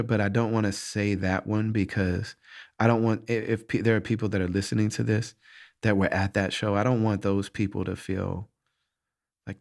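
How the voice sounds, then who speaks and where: A man's voice: on the low side, creaky, a little mellow. A middle-aged man hosting a podcast, talking candidly and earnestly about hard moments, often restarting his thoughts as he searches for words. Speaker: podcast host answering listener questions. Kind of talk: candid reflective monologue.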